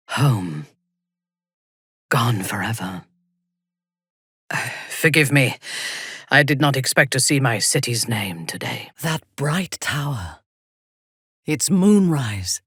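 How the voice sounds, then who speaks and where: Low, husky, haughty dark elf warrior. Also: female voice, no echo, studio quality, full character voice. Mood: quietly mournful. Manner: imperious, deliberate, measured. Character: haughty, ruthless female dark elf warrior, coldly menacing. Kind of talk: dramatic video game character acting.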